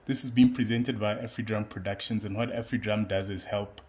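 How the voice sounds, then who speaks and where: Brief: male host, lowish voice, easygoing interview talk. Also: steady tone, brisk, a little mellow. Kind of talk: casual interview.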